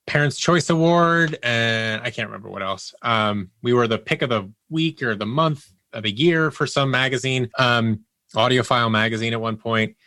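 A man chatting casually as an interview guest, telling stories in an animated way and slipping into quoted dialogue. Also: mid-range voice, normal speed, dry recording. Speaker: interview guest, audio drama producer. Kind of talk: casual conversational storytelling.

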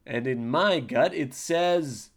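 A man playing a boastful, pompous army captain in a comedy audio drama. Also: mid-range voice. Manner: pompous, boastful, slow and grandiose.

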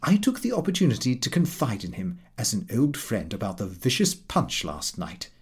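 A man's voice: mid-range voice, wildly swooping pitch, moderate pace, a little mellow, husky. A man narrating a comic first-person audiobook in a prim, precise, slightly aggrieved manner.

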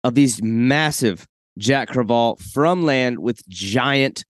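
An eager man hosting a relaxed podcast chat, his mid-pitched voice recorded close and dry with no room sound. He talks with enthusiasm, rambling and restarting his sentences as he goes. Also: slow, no echo. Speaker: podcast host. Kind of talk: casual podcast interview.

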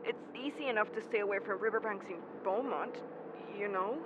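A woman's voice: mid-range voice, brisk, close to an everyday voice. A woman acting a nervous, earnest young man who speaks hesitantly in an audio drama. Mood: subdued. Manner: stammering, hesitant. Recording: muffled, vehicle noise behind.

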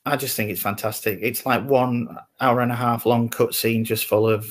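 A man with a medium-pitched voice takes part in a casual podcast chat. He sounds informal and enthusiastic, speaking as one of the panel. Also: steady tone, normal speed.

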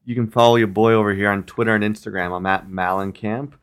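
A man co-hosting a podcast in relaxed casual chat, his voice slightly hoarse and tired. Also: on the low side, fast. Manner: relaxed, joking, plugs contact info. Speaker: podcast co-host.

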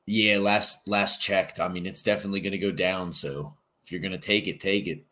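Low-voiced man, relaxed sports pod co-host, filler-heavy casual talk. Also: a little fast. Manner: laid-back, hedging with "like" and "I mean". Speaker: podcast co-host.

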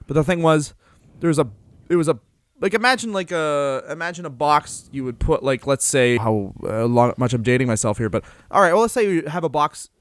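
A man's voice: a little high, lively intonation, brisk, dry recording. A man co-hosting a casual podcast, rambling loosely with lots of fillers and offhand swearing. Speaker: podcast co-host. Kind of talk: casual wrestling podcast chat.

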